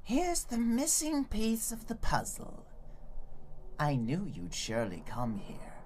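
A woman's voice: medium pitch, wildly swooping pitch, slow pace, darkish tone, slight background noise. A woman voicing a small, scheming bird-like male monster villain in a cartoon comic dub, taunting and theatrical. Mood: gloating menace.

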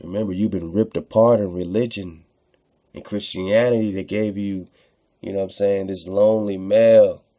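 A man's voice: lowish voice, fairly even intonation, moderate pace, warm tone, no room sound, studio quality. A man hosting a radio show, reading texts aloud with informal commentary, in a rhetorical, preacher-like way, repeating phrases for emphasis.

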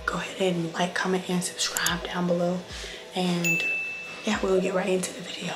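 Hoarse young woman vlogger, low and flat. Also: normal speed. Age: 20s. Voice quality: hoarse, breaking into whisper. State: hoarse, sick, voice partly gone. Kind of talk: casual vlog chat to viewers. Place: indoors, mostly a bedroom at home. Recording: clearly noisy camera audio.

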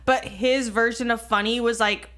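A woman's voice: fairly high pitch, fairly even intonation, moderate pace, a bit bright. An American woman in her twenties co-hosting a podcast, bantering casually in a brash, sweary, giggly manner. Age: 20s. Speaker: podcast co-host. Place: small room, indoors. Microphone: podcast microphone on boom arm, close.

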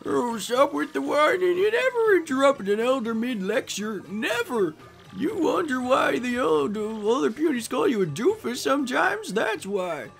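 A cranky old elder of a tiny creature tribe, acted by a woman, scolds in a creaky voice that swings widely in pitch. The bossy game character speaks over music. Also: full character voice. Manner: scolding, domineering.

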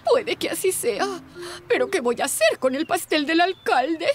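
An elderly aunt in a children's cartoon, busy and fussy. The voice is high and animated, with a fretful edge. Loud music runs behind it. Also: female voice, moderate pace. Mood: dismayed. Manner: expressive, fretful.

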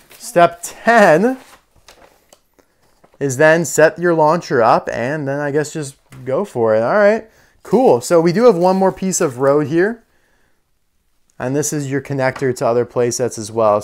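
A man with a clear, smooth voice talks casually through a hands-on toy demonstration. His pitch sits in the middle range. He is chatty and unscripted, thinking aloud as he works. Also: lively intonation, unhurried. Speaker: toy reviewer. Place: indoor room full of toy displays.

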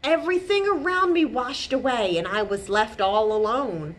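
A woman voicing a gentle, forlorn snail in a children's story, answering slowly and deliberately. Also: fairly high pitch, slight background noise, lightly acted. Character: slow, gentle snail, newly homeless and forlorn.

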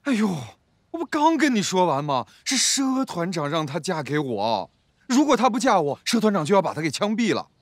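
A man's voice: on the high side, big pitch swings, normal speed, a bit bright, breathy edge, no echo, studio quality, exaggerated voice. An actor in a TV drama playing a put-upon young adjutant, impatient and whiny as he protests. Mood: irritated. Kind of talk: TV drama acting.

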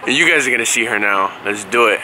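A man's voice: mid-range voice, animated, fast. Young male vlogger, casual and cheeky, swears freely, handheld camera with noisy surroundings. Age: young adult. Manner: playful, slangy, swearing. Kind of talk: casual vlog chat. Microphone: handheld camera at arm's length. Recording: noisy handheld recording, music behind.

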